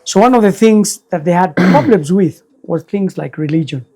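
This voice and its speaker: An older man, a guest elder recalling the past in slow, measured speech. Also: high-pitched, dark tone. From Kenya. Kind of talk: reflective storytelling interview.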